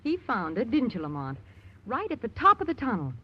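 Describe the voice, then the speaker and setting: A woman's voice: fairly high pitch, wildly swooping pitch, a little fast, breathy edge, exaggerated voice. A woman acting a sleuth's spirited, devoted companion in a 1940s-style radio drama. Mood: cheerful. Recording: muffled old radio recording.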